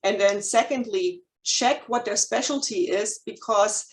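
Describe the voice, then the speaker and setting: A woman in her forties with a German accent speaks to a room of listeners, candid and a little nervous. She fills pauses with ums and restarts her sentences. Her pitch sits in a middle range for a woman. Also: poor audio. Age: 40s. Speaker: licensed counselor. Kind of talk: chamber of commerce presentation.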